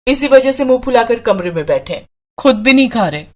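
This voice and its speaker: A woman's voice: fairly high pitch, expressive, fairly quick, natural voice. A woman acting a scolding, stubborn wife. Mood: complaining. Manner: sharp complaining. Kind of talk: Hindi moral story acting.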